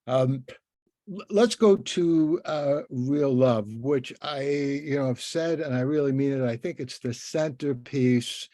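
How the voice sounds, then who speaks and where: A man in his seventies hosting a conversational interview, speaking in a halting, thoughtful, rambling way with many restarts. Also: mid-range voice, warm tone, no room sound. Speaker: interviewer and host.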